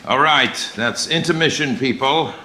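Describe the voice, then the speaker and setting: An actor playing a confident young theatrical radio director in an audio drama. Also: male voice, medium pitch, a little slow, bright, forceful tone, echoey room, a little hiss or hum. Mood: breezy, authoritative.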